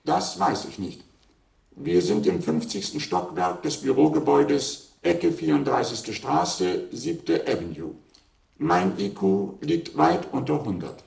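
An actor playing an obsolete household robot in a radio play, polite, formal and literal, a slow-witted servant. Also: male voice, medium pitch, very animated, vocal fry, darkish tone, reverberant, exaggerated voice. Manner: courteous, formal, matter-of-fact. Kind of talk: German radio play acting.

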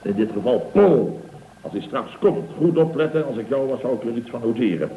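Blustering middle-aged Dutch contractor boss, played by a male actor, sarcastic lecturer, lively melody, muffled hissy vintage radio sound. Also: mid-range voice, close to an everyday voice. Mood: smug lecturing. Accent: Netherlands Dutch. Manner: pompous, drawn-out, mock-casual lecturing. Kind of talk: 1960s-70s radio comedy acting. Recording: roomy, muffled, hissy old radio recording.

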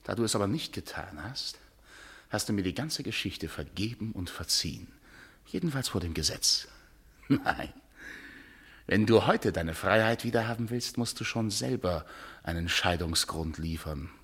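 Sneering, husky-voiced domineering husband. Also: male voice, lowish voice, animated, normal speed, a bit bright, heavily acted. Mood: cold, sneering. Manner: mocking, theatrical, condescending. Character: sneering, domineering husband who enjoys power and taunting. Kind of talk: German radio play acting.

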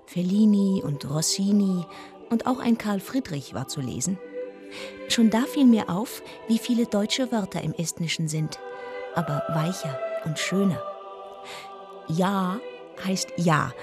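An actress playing an observant, gently wry woman narrator, telling her travel story in a measured radio-drama style. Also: medium pitch, lightly acted. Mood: amused recollection. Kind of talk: scripted first-person narration. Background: music.

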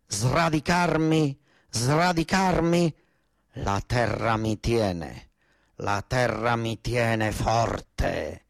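Stubborn old peasant father, acted by a man, southern Italian accent, theatrical monologue, mid pitch, oratorical and angry, dry sound. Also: creaky, no room sound, heavily acted. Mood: solemn, defiant. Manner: oratorical, repetitive, rustic dialect phrasing. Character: elderly illiterate southern farm labourer, angry, defiant, stubborn father.

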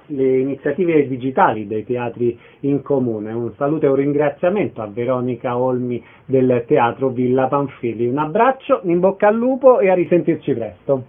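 A man's voice: medium pitch, narrow, tinny audio. A man presenting a radio interview as the host, introducing guests and putting questions to them.

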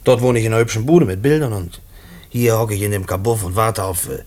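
An actor in a German radio play playing a gruff, despairing convict who speaks colloquially and haltingly. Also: male voice, fairly low pitch, a little mellow, lightly acted. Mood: despairing, agitated. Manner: colloquial, halting, repeating himself when agitated. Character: gruff, despairing convict writing letters to his mother.

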